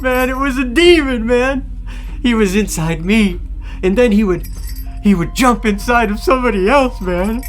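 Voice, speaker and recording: Horror audio drama: strung-out, frantic killer, high-pitched, stuttering, pleading, repetitive, over music. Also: male voice, bright, forceful tone. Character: frantic drug-addled killer pleading that a demon made him.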